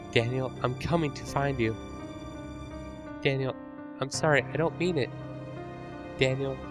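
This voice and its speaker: A man's voice: mid-range voice, pitched above the performer's own voice, close to an everyday voice. A man doing an unhinged obsessive young woman, raised voice. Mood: furious pleading. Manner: swings between rage, pleading and sugary menace. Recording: music playing underneath.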